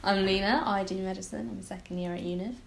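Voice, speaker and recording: A woman's voice: medium pitch, steady tone, fast, gravelly, noisy background. A young woman of about twenty, a medical student, chatting about her interviews with frequent likes and ums. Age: around 20. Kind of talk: casual interview answers.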